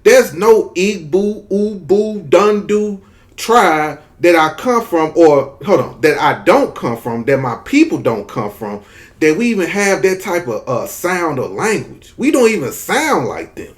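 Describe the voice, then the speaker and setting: A man with a thick Mississippi Southern drawl talks straight to his viewers in a casual commentary. His voice sits a little high, and he hammers his points home by repeating them. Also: big pitch swings, unhurried. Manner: drawling, emphatic, repetitive. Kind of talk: casual opinion commentary to camera.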